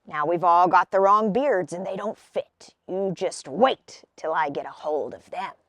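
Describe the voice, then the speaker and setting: A woman's voice: fairly low pitch, measured pace, a bit bright, no room sound, pitched below the performer's own voice. A woman voicing a grumpy, ugly old male troll for a storybook, with a growling, grunting, threatening delivery. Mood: angry, menacing. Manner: gruff, threatening.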